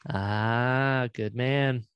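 A male podcast host with an average-pitched voice interviews in a relaxed, conversational manner. He agrees readily and keeps his phrases short and informal. The recording is dry, with hardly any echo. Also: very slow, clean tone, lo-fi sound.

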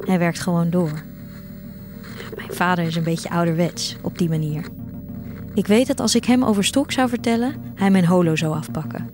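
A woman's voice: on the low side, moderate pace, darkish tone, faint music behind, lightly acted. A woman playing a yearning teenage girl who tells her own story in a scripted audio drama. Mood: reflective, intimate. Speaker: narrator and voice actor of an audio drama. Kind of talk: scripted first-person narration, audio drama.

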